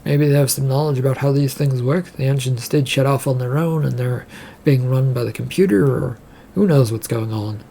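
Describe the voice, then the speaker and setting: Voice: medium pitch, normal speed, creaky, warm tone, slightly rough. A narrator voicing a casual, reasoning male crewmate in a science fiction story.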